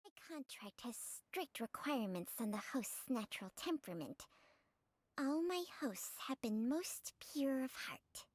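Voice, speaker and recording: A woman voicing a small, ancient female snake spirit in a video game, weary, wry and deliberate in manner. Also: sits high, big pitch swings, dry recording, heavily acted. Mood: solemn resignation. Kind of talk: scripted video game voice acting. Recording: clear music behind the voice.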